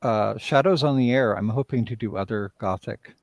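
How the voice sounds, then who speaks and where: A man's voice: mid-range voice, normal speed, no echo. A man talking casually as a playwright in a live discussion, rambling and often interrupting himself. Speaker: playwright and director, interview guest.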